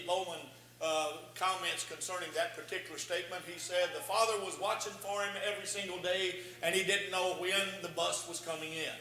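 A man's voice: high voice, slight background noise. Older man preaching in an echoey church. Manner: swells from hushed to loud emphatic stretches. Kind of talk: sermon. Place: church meeting room. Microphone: podium microphone.

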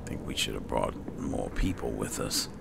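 A man's voice: very deep voice, normal speed. A man muttering to himself in casual commentary as he plays and roleplays a fantasy game. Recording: clear background noise, game ambience.

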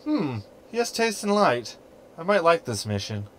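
An actor playing a sarcastic, flippant ship computer hologram with a wry, chatty, teasing manner in a sci-fi comedy audio drama. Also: male voice, fairly high pitch, very animated, moderate pace.

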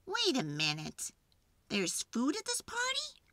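A woman's voice: high-pitched, wildly swooping pitch, measured pace, background noise, voice pushed up, heavily acted. A woman voicing a blunt, whiny, reluctant male animal in a children's storybook. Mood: surprised. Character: reluctant, outspoken grumpy male party animal.

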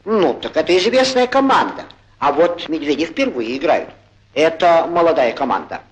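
A man playing an excitable, good-humoured football commentator in a 1940s cartoon, chatty and theatrical, on a noisy old film soundtrack. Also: on the high side, normal speed. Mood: chatty, genial. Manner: chatty, theatrical, exclaiming, correcting himself. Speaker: sports commentator.

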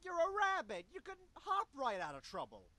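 Whiny little slacker cartoon animal. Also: male voice, extremely high pitch, huge pitch swings, normal speed, sharp tone, dry recording, heavily acted. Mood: whiny, protesting. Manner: slangy, complaining. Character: small whiny slacker cartoon animal, male, late twenties. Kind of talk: voiced game dialogue.

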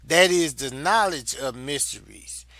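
A man's voice: a little high, animated, pushed and bright, no room sound. Older man teaching a Bible lesson. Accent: Southern US. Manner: deliberate, repeats phrases for emphasis. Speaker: Sunday school teacher.

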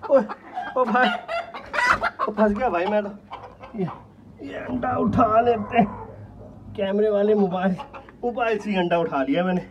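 Young guy vlogging outside on his phone, kinda high voice, dull tinny sound with noisy background. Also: a little slow, pauses often. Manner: chatty, calls out loudly to his birds. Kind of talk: casual selfie vlog. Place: rooftop poultry yard outdoors, light rain. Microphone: phone held at arm's length. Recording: dull phone audio with outdoor noise.

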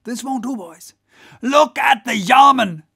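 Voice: mid-range voice, takes it slowly, gravelly, fairly bright tone, no echo, studio quality, voice pushed up, exaggerated voice. An audiobook reader voicing a boisterous, joking whaleboat mate who goads the crew in salty, exclamatory talk. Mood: rousing, goading. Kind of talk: animated audiobook character voice.

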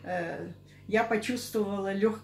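A woman of sixty-seven giving halting, unscripted interview answers, often repeating herself. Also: mid-range voice. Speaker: interviewee giving a product testimonial. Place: small curtained indoor office. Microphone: camera a couple of metres away. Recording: echoey room.

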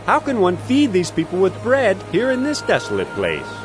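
A man's voice: high voice, huge pitch swings, moderate pace. An actor playing a doubtful, puzzled male disciple in a dramatized scripture reading. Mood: incredulous. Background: background music.

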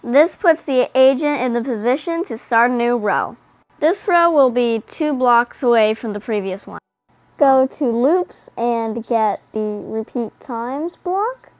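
Slow, high-voiced woman giving instructions. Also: animated, no echo. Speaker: coding tutorial narrator. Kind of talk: step-by-step instructional voice-over. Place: voice-over over screen recording. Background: faint background music.

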